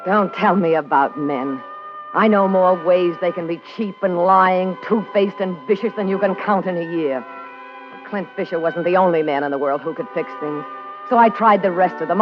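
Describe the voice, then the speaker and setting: A woman's voice: mid-range voice, close to an everyday voice. An actress playing a brazen, scheming, slangy underworld woman in an old-time radio crime drama. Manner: brassy, defiant, slangy. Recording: dull lo-fi old radio recording with music.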